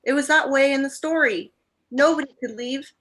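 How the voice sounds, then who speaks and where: Lady doing a scared stubborn little boy for a radio play, high bright voice. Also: moderate pace. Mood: frightened, insistent. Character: frightened, defiant young boy in a radio mystery.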